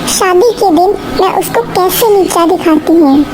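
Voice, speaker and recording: A woman's voice: extremely high pitch, unhurried, noisy background, pitched above the performer's own voice. A woman voicing a spiteful, bossy female crow who coaxes and schemes in a children's cartoon. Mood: spiteful, scheming. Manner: conniving, coaxing and bossy. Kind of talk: Hindi children's cartoon dialogue.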